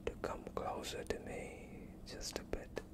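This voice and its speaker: A gentle, reassuring vampire doctor, acted out by a young man, speaks entirely in a soft whisper. The delivery is very slow and broken into short, soothing phrases, in the style of a close-up ASMR medical roleplay. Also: lightly acted. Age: young adult. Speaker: ASMR streamer. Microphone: close microphone.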